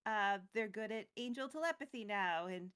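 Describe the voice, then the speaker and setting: A woman's voice: medium pitch, lively intonation, moderate pace, fairly bright tone, smooth. A woman co-hosting a recap show, retelling a story casually with playful, wry, chatty asides. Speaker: co-host of a lore recap show.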